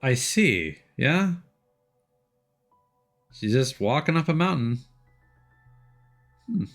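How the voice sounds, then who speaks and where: A man's voice: mid-range voice, sing-song, slow pace, soft background music. A man giving casual livestream commentary as he plays a game. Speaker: game streamer.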